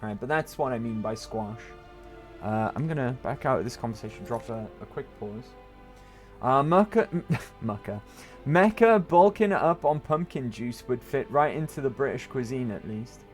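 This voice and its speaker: A man's voice: medium pitch, lively intonation, normal speed, faint music behind. A man from Wales with a Welsh accent, chatting casually to his viewers while streaming a game. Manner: rambling, chatty, answers chat. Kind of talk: casual gaming stream chat.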